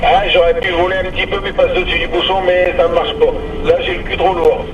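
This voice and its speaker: A man's voice: high voice, level tone, fast talker, distant mic. A man chatting casually as a driver over a CB radio. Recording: muffled, echoey, very noisy CB radio. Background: loud engine and radio noise.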